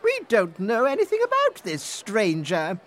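A man voicing a haughty, scheming queen in a fairy tale, in a sharp, insistent manner. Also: high-pitched, huge pitch swings, unhurried, pitched above the performer's own voice, exaggerated voice. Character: haughty scheming queen, middle-aged woman.

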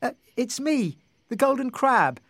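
A man voicing a small, polite, cheerful magical crab in a children's story, with a gently pleading manner. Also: very high voice, big pitch swings, unhurried, creaky, no echo, studio quality, pitched above the performer's own voice, heavily acted. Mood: hungry, pleading.

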